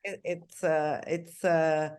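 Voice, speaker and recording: An Italian woman interviewing a singer, speaking English with an Italian accent in an admiring way, often pausing to find her words. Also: deep voice, flat delivery, darkish tone, clear, resonant tone. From Italy.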